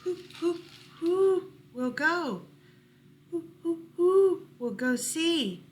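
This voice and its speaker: A high-pitched storybook owl, played by a woman reading aloud, hoots slowly and insistently. It draws out its repeated questioning words, with noticeable noise behind it. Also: animated, full character voice. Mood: inquisitive, insistent. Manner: drawn-out, repeated hooting words.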